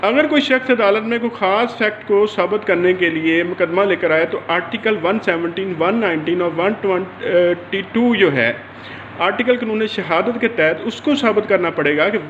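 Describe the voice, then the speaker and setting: High-pitched Pakistani man lecturing, echoey room. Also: moderate pace, a bit bright. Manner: didactic, repeats and restates points. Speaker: law lecturer. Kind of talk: explanatory lecture to camera or mic, mixing in English legal terms. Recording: roomy echo, dull lo-fi sound, faint noise.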